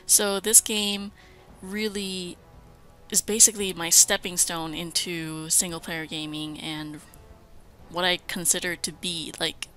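A woman's voice: medium pitch, pushed and bright. A woman hosting a let's play with casual, enthusiastic, chatty commentary. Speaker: gaming host.

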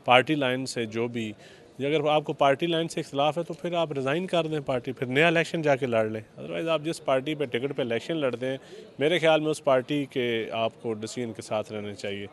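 Pakistani male politician, 40s, medium pitch, outdoor interview on handheld mic. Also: brisk. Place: outdoors, parliament forecourt. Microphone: handheld interview microphone.

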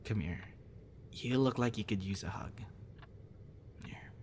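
A man playing a gentle, encouraging young voice actor in a soft, hesitant, reassuring ASMR boyfriend roleplay. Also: fairly low pitch, unhurried, close to an everyday voice. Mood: tender. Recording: noticeable background noise.

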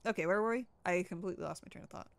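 A woman's voice: on the low side, rapid-fire, no room sound. A young woman with a slightly stuffy voice, chatting and joking playfully while playing a co-op game at home. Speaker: gamer. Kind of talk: casual co-op gaming chat.

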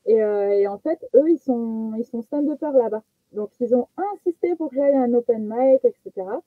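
Young female comedian from French Guiana, casual self-mocking storyteller, slightly high voice, tinny phone-like call audio. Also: a little fast, dry recording. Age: young adult. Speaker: guest stand-up comedian. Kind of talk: casual chat, telling personal anecdotes. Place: small attic bedroom. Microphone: headset on a video call. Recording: muffled phone-like video call sound.

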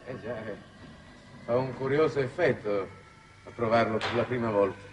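A man's voice: mid-range voice, moderate pace, close to an everyday voice. An actor in his forties playing a worldly, wistful foreign minister in a 1960s television drama, urbane and wry in conversation. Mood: subdued, uneasy. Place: large reflective television studio set. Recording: roomy, noisy old television soundtrack.

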